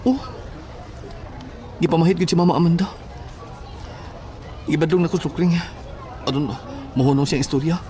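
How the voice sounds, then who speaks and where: A fairly high male voice with a Cebuano accent from a man acting. He is a talkative, spooked young fellow in a horror audio drama. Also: moderate pace, pauses often, mellow, faint music behind, some chatter behind, natural voice. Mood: gossiping, uneasy.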